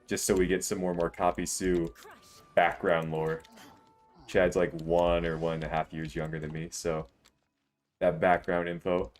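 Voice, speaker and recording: A man in his twenties with a deep voice, chatting casually and jokingly while live-streaming a video game. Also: flat delivery, unhurried, faint music behind. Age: 20s. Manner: laid-back, joking, rambling.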